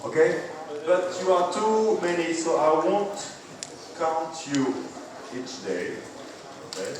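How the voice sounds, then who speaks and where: Man, professor, slow halting lecture, distant mic, echoey hall, crowd murmur. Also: on the high side, very animated. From France. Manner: halting, many fillers and repeated okays. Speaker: university physics professor. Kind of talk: classroom lecture.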